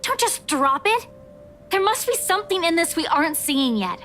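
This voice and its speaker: An actress plays a frustrated young woman investigator in a visual novel game. Her voice is high and bright, exasperated and pressing. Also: sing-song, moderate pace, a little hiss or hum, heavily acted. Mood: insistent.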